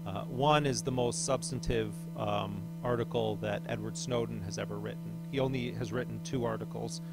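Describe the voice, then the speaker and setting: A gravelly-voiced man speaks at a panel before a hall audience, his delivery full of fillers and stop-start phrasing. A loud musical hum runs under his voice throughout. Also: fairly low pitch, steady tone, over loud music. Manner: halting, many fillers and restarts; says he has a loud voice. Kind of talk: unscripted speech at a public panel.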